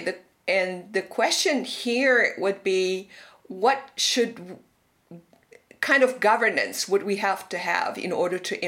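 A woman's voice: mid-range voice, a bit bright. A woman from Romania hosting an interview and asking long, thoughtful questions, with plenty of fillers along the way. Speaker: podcast host.

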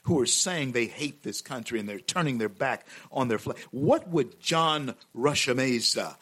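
A man's voice: mid-range voice, lively intonation, unhurried, a bit bright, breathy edge. A man hosting a talk show, interviewing a guest with emphatic, rising swells of delivery.

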